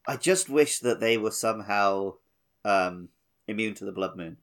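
Male gaming podcast co-host, lower register, conversational and analytical, informal phrasing. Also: normal speed. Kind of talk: casual gaming podcast chat.

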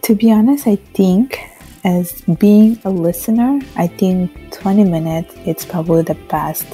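A woman's voice: mid-range voice, a little slow, mellow, faint music behind. A woman from Italy answering interview questions as a podcast guest in a conversational way. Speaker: podcast guest working in finance.

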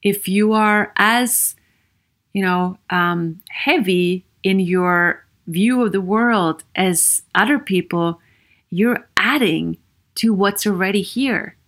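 Slow-spoken Austrian woman podcast host. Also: medium pitch, a bit bright. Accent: Viennese Austrian German. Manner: earnest, encouraging, many rhetorical questions and "you know" fillers. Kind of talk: solo podcast monologue.

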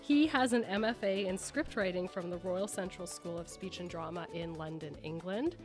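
A woman's voice: on the low side, moderate pace, over music. A woman hosting an interview in an informal, conversational way, with chatty phrasing. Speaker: radio interview host.

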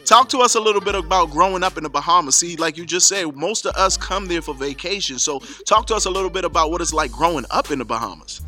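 An upbeat male radio show host with a bright, slightly high voice. He hypes his guest and often says his questions twice. Faint music plays underneath. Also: expressive, fast, few pauses. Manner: hyped, repeats his questions. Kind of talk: interview hosting.

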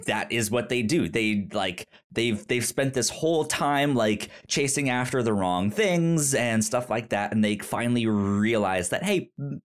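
A man co-hosts a casual film discussion podcast at a slow, unhurried pace. His delivery is halting, and he often repeats syllables and word starts. His pitch sits in the middle range for a man. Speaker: podcast co-host.

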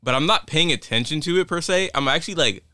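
A man's voice: fairly high pitch, expressive, quick pace, bright, forceful tone, dry recording, clean studio sound. A man co-hosting a podcast in loose, rambling casual chat, full of "like" fillers. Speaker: podcast co-host.

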